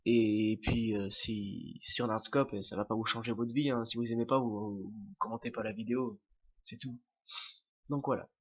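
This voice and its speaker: A man's voice: lowish voice, fairly even intonation, moderate pace, a bit bright, lo-fi sound. Young French man with a cold. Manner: hesitant, many fillers and restarts. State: has a cold, sniffling. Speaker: gameplay commentator. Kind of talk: casual game commentary.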